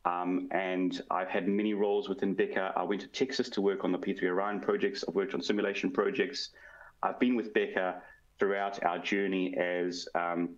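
A man's voice: low-pitched, flat delivery, normal speed, lo-fi sound. A man in his forties with a South African accent, a guest answering interview questions over a video call from home, fluent but with frequent ums and uhs. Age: 40s. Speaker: guest, product strategy manager. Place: home room. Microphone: headset on video call.

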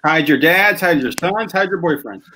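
Lively, higher-voiced man. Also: moderate pace, slight background noise. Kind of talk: casual banter. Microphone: video call.

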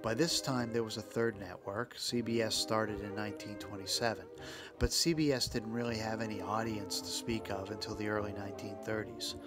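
A man narrating a scripted documentary podcast in a measured way, with dramatic pauses. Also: fairly low pitch, steady tone. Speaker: podcast host. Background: music.

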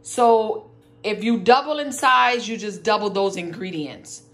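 A woman's voice: mid-range voice, measured pace, fairly bright tone. A woman hosting a home cooking video, explaining a recipe step by step in a casual way. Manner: plain step-by-step explaining, listing ingredients. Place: home kitchen, dining table.

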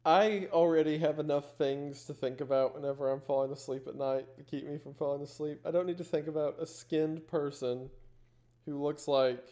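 A man with a fairly high voice talks at an unhurried, very slow pace. He rambles through casual reaction commentary, playing up his unease in a joking streamer style. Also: warm tone. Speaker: streamer reacting to horror videos.

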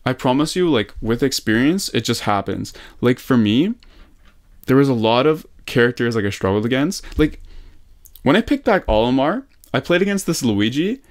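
A man's voice: mid-range voice, very animated, normal speed. A young man coaching casually on a call, slangy and encouraging, with emphatic repetition, at a desk mic in a small room at home. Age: young adult. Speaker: gaming coach. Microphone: desktop condenser mic on desk.

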